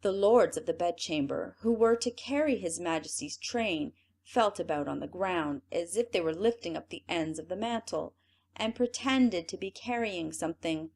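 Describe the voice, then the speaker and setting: A woman reading a classic fairy tale aloud as an audiobook narrator, in an expressive storytelling manner. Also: on the low side, moderate pace, vocal fry. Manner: expressive, lively storytelling read.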